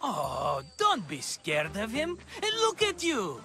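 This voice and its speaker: A man's voice: high voice, huge pitch swings, slow pace, vocal fry, bright, forceful tone, full character voice. A man playing a scheming, wheedling merchant in a video game, glib and theatrical like a salesman. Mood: cajoling. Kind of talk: video game cutscene acting.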